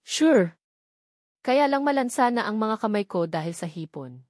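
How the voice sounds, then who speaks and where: A woman's voice: on the high side, animated, unhurried, a little mellow, no room sound, clean studio sound. Casting: woman narrator as an attentive, teasing Filipino male boss for romance audiobook, coaxing delivery. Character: doting, gently teasing wealthy male boss.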